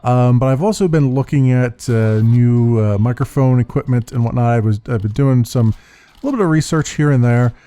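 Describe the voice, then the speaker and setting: A man with a deep voice hosting a gaming channel, rambling through a casual update with many ums and restarts into a desktop mic. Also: clean tone, no echo. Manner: halting, full of uhs and restarts. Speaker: YouTube gaming channel host. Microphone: USB desktop condenser mic. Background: soft background music.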